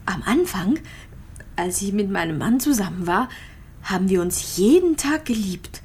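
An actress playing a lonely, gravely ill clinic patient in a radio drama, speaking plainly and wistfully. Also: female voice, medium pitch, lively intonation, moderate pace, a little mellow, a little hiss or hum, close to an everyday voice. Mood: tender, wistful. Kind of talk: naturalistic radio drama monologue.

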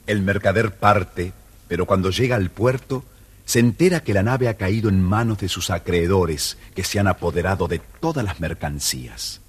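A man narrating a children's storybook record in a measured storytelling voice. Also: sits low, breathy edge.

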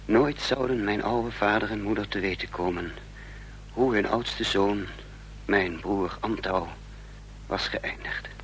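An actor playing a troubled man in love who tells his own espionage story in a measured, dramatic radio drama style. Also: fairly low pitch, a bit bright, low-quality recording. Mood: grave, desperate. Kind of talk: Dutch radio drama narration, literary first person. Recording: faint noise and hum, old radio recording.

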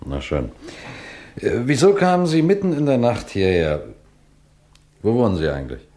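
Ein Schauspieler spielt in einem Krimihörspiel einen scharfsinnigen, befehlsgewohnten Kriminalrat, der bedächtig fragt und anordnet. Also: male voice, mid-range voice, sing-song, dark tone, close to an everyday voice. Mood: probing. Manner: commanding, measured, questioning. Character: authoritative, shrewd police detective superintendent, first-person narrator. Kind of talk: German radio crime play acting.

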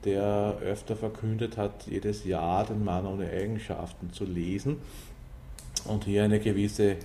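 A man commenting in an interview in a hesitant, deliberate manner. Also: lowish voice, flat delivery, dark tone, slight background noise.

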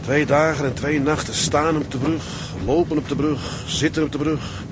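An actor playing an earnest, weary young Dutch ship's officer in a radio drama. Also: male voice, on the high side, fairly even intonation, moderate pace, lightly acted. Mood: weary, grumbling. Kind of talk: radio drama acting with letter narration. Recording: very noisy, stormy ship sound effects.

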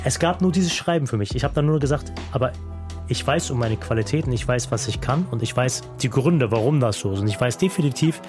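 A young man telling his own life story with earnest reflection, pausing often with fillers. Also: mid-range voice, animated, darkish tone. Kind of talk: personal storytelling in a radio feature. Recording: music bed behind the voice.

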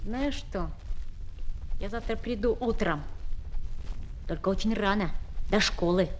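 Актриса в роли неторопливого, заботливого мальчишки-школьника. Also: female voice, medium pitch, a little slow, close to an everyday voice. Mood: reassuring. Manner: tender, coaxing, boyish. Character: kind schoolboy who looks after lonely dogs. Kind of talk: Soviet children's radio play. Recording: noisy 1970s flexi-disc recording.

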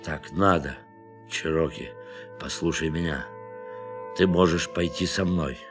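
Brooding idealist astronaut turned killer, radio drama: very low, deliberate delivery with long pauses, music underneath. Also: male voice, fairly even intonation, long reverb tail. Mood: cold, resolute. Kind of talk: Russian audio drama acting.

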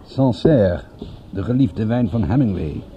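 An actor playing a witty, easygoing private detective, talking in a teasing, conversational way in a radio play. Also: male voice, on the low side, lively intonation, measured pace, dull audio. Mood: amused, teasing. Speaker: detective in dialogue. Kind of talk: radio play dialogue, naturalistic.

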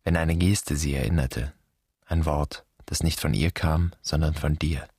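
An actor playing a wounded, searching son who addresses an absent father in measured, poetic radio drama lines. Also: very deep voice, steady tone, a little mellow, no echo, clean studio sound, heavily acted. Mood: wounded, solemn. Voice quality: husky. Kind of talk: literary radio drama monologue.

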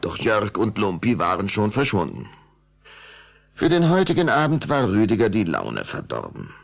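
Deep, gravelly male storyteller. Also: normal speed. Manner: expressive storytelling. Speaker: narrator. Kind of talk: scripted children's audio story narration.

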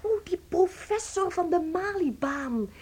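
An actress playing an anxious, doting young wife in a radio play, melodramatic in manner. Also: female voice, very high voice, expressive, a little slow, darkish tone. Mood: anxious, insistent. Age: young adult. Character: distraught, doting 27-year-old wife of a shrinking man.

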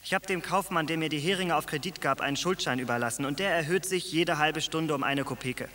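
A man's voice: on the high side, fairly quick, sharp tone, long reverb tail. An actor playing a poor young fisherman, earnest and stammering when unsure. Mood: wry. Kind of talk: radio drama acting.

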